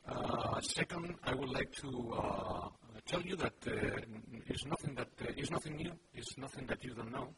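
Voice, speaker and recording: Halting non-native English-speaking man. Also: mid-range voice, animated. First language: not English. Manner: halting, many fillers. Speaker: panel guest. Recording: loud background noise.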